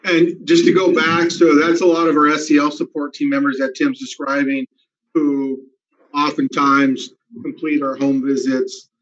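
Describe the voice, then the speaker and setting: A man addresses school staff from an indoor office over a video call. His voice sits a little high, and he fills pauses with "um." The webcam audio comes through dull and lo-fi. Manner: steady, many "um" fillers. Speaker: school district administrator. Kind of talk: town hall presentation over video call.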